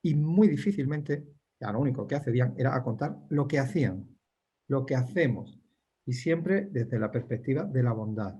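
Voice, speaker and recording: A man's voice: medium pitch, lively intonation, normal speed, warm tone, breathy edge. A man who is a nurse, speaking earnestly as a panelist in an online panel discussion.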